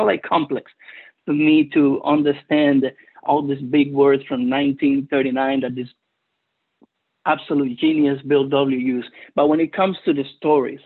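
A man from Cuba with a Cuban Spanish accent, Spanish his first language, giving an earnest personal testimony at a recovery meeting with many hesitant pauses. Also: fairly high pitch, breathy edge. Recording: tinny phone-line audio.

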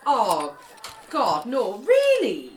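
An actress playing a grumpy, weary sister, sarcastic and exasperated, in a naturalistic audio drama. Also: female voice, on the high side, huge pitch swings, very slow, music in the background, heavily acted.

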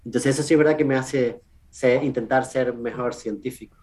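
Canarian man speaking casually and haltingly. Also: mid-range voice, steady tone, darkish tone. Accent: Canarian Spanish. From Canary Islands, Spain. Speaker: research scientist, podcast guest. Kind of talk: casual conversational interview answers.

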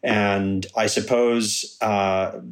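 Halting man with a mixed American-British accent. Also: fairly low pitch, little pitch movement. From California. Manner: halting, many restarts and laughs. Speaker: guest. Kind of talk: casual conversation.

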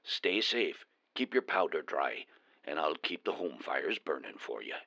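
Guy doing a deep gravelly dwarf, lively wry storyteller, dry sound. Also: unhurried, no echo, heavily acted. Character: folksy gravel-voiced male dwarf reporter. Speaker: remote correspondent.

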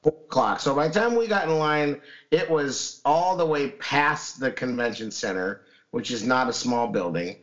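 A man with a hoarse, scratchy voice after losing it, co-hosting a casual chat show and rambling with many restarts. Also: medium pitch, moderate pace, a bit bright, dull audio. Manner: rambling, lots of fillers and restarts. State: hoarse, recovering lost voice. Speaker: podcast co-host.

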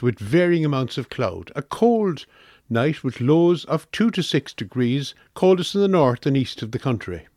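Irish male forecast reader for local radio, mid-pitched voice, dry recording. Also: darkish tone, dry recording. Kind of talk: scripted weather forecast.

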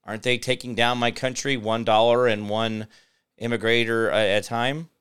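Clear-voiced American man, rambling talk show host. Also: medium pitch, flat delivery, a bit bright. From United States. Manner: halting, sardonic, digressive. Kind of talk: rambling off-the-cuff political commentary.

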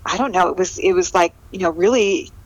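A woman's voice: lowish voice, brisk, highs cut off. A middle-aged woman from California with an American accent, telling her story as an interview guest in a casual, lightly humorous, self-deprecating way. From California, USA.

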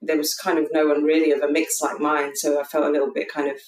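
A woman in her thirties with a London accent speaks hesitantly, picking her words with plenty of ums and soft restarts. She sounds far from the microphone, and her voice rings in an echoey room. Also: low-pitched, level tone, fast. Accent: London English. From England. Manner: hesitant, thoughtful, many fillers. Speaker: guest. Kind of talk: casual conversation. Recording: distant mic in an echoey room.

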